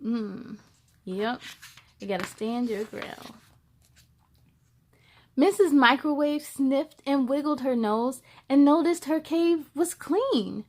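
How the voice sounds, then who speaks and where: A woman with a high voice reads a children's story aloud. She takes it slowly and expressively, like a host who puts feeling into each line for young listeners. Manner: slow, expressive delivery with playful asides.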